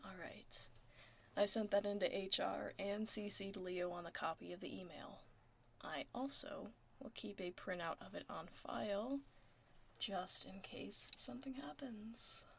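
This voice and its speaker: Woman acting a soft, creaky-voiced tomboy office admin. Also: mid-range voice, a little slow, a little hiss or hum. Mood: relaxed, focused. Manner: casual, teasing, reassuring. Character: tomboy werewolf office admin woman, laid-back, gruffly caring. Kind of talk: ASMR roleplay, soft casual office chat. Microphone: close binaural microphone.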